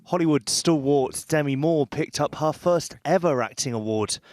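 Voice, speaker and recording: A male reporter reading a scripted entertainment news package in crisp, punchy phrases. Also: on the high side, normal speed, a little mellow.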